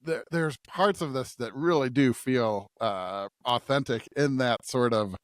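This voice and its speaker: Man co-hosting, medium-pitched and dry-sounding. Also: unhurried, no echo. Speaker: podcast co-host. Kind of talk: scripture reading and casual discussion.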